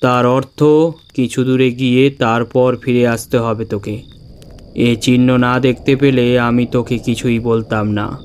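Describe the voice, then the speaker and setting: A man voicing a calm old wandering holy man in a Bengali ghost story, with a solemn, weighty, deliberate delivery. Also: medium pitch, a little hiss or hum, close to an everyday voice. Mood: solemn, knowing.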